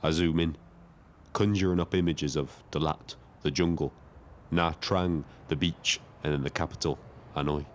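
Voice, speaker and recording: An actor playing a lonely, reflective taxi driver in an audio drama, telling his story in a measured, literary way. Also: rumbling low, slight background noise. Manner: wordy, literary, measured first-person storytelling. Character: Frank, lonely reflective male Brighton taxi driver and diarist. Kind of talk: scripted dramatic diary narration.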